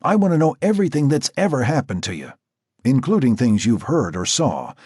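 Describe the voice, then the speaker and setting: A calm, steady grown man, voiced by a male narrator, firm but quiet as he asks questions one by one. His voice is a little husky, with lively pitch. The sound is dry and close. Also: a little fast, no echo.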